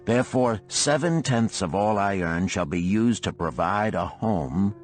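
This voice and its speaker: A man voicing a resolute ancient Babylonian freed slave in an audiobook parable, solemn, archaic and deliberate. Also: fairly low pitch, lively intonation, soft background music. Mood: earnest, instructive. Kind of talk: parable read aloud in an audiobook.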